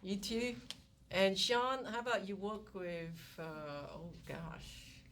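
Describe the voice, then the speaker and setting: A woman from the Malaysia and Singapore area lectures a university class in a chatty, lively way, acting out quick exclamations as she explains. Her voice sits at a middle pitch. It is picked up from a distance in the classroom, with a faint hiss behind it. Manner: chatty, acts out little scenes with exclamations. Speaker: economics lecturer.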